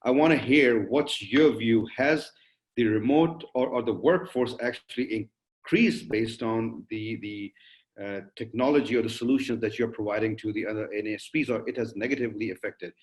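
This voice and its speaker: A man moderating a panel discussion, putting wordy, polite questions to guests in a halting way. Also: fairly low pitch, rough recording.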